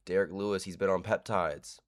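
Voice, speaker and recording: A man's voice: low-pitched, steady tone, a little fast. A man hosting casual fight predictions, frank and rambling with casual swearing. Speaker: host.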